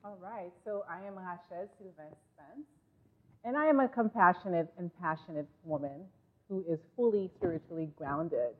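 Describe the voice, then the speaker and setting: A Haitian American woman from New York, a guest life coach speaking earnestly in measured, spiritual phrasing on a talk show. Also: fairly low pitch. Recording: tinny phone line with noise.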